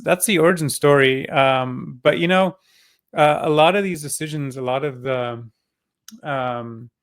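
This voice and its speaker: A man's voice: mid-range voice, fairly bright tone, dry recording, clean studio sound. Hesitant man full of fillers. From Singapore. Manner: halting, many fillers and restarts. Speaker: crypto company co-founder, guest. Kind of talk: interview answer.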